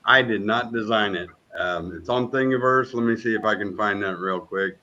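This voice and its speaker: A man on a video call, explaining things slowly and haltingly. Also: fairly low pitch, sharp tone. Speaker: guest. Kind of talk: casual video call chat. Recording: dull lo-fi video call audio.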